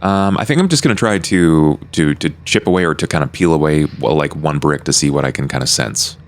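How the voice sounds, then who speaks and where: A man's voice: a bass voice, a little fast, slight background noise. A man playing a tabletop roleplaying game, talking casually and tentatively as he thinks aloud.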